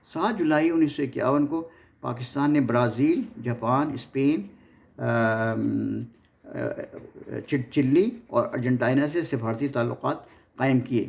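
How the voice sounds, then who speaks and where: A man's voice: medium pitch, a little slow, gravelly, darkish tone. A man with a Pakistani accent hosting an informal history narration, often stumbling and repeating his words as he goes. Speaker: host of a history series.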